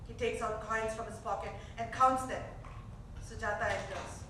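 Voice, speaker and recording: A woman's voice: fairly high pitch, steady tone, moderate pace, pushed and bright, distant mic. A woman reading scripted stage directions as the narrator of a staged play. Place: theater stage. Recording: echoey theater recorded from afar, faint noise.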